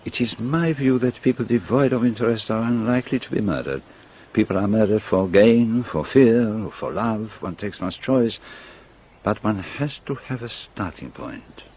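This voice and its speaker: A man playing a courteous older gentleman detective in a radio drama, speaking formally and precisely with polite curiosity. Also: fairly low pitch, lively intonation, normal speed, breathy edge. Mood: grave, measured. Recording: dull lo-fi radio drama, noisy.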